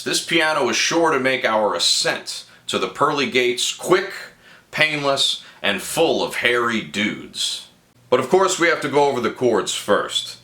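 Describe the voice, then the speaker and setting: A man explaining a song's chords and harmonies as a guitar teacher, breaking in with playful, wry asides. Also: mid-range voice. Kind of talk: guitar tutorial with music analysis. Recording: echoey room with loud music. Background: loud guitar and band music.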